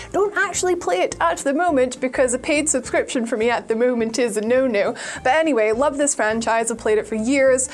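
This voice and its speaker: A female presenter with a high-pitched voice gives opinions in casual conversation. She sounds sure of herself, with a playful, nerdy enthusiasm. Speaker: video channel presenter. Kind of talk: casual chat, film opinion.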